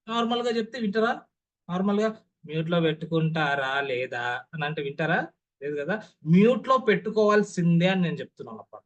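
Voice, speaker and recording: A man's voice: high-pitched, muffled recording. A young man with a Telugu accent lecturing an online class as a public speaking trainer, stressing key words and often checking that listeners follow with rhetorical questions.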